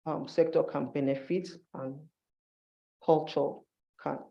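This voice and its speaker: A man's voice: fairly high pitch, fairly even intonation, slow, vocal fry, lo-fi sound. A man giving an instructive conference presentation in short, emphatic phrases, as a university staff member.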